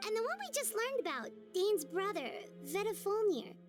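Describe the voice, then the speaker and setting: A woman voicing a tiny, earnest, excitable childlike fairy companion in a video game, chirpy and inquisitive. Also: very high-pitched, normal speed. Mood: realizing, excited. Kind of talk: video game character dialogue. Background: background music.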